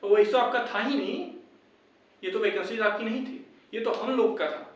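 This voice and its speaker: A man's voice: sits high, normal speed. A young man addresses his viewers like brothers. He is insistent and repeats his points as he advises and rallies them. The sound is heavily echoing and distant from the microphone. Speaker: YouTube exam-update presenter. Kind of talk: informal advice and rallying talk to viewers. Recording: very echoey room, distant microphone.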